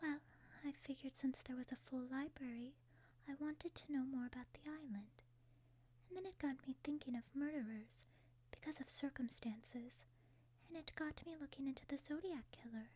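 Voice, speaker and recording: A woman playing a refined young princess who eagerly shares morbid interests in a soft, breathy half-whisper for an ASMR roleplay. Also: sits high, normal speed, lightly acted. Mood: eager, intrigued.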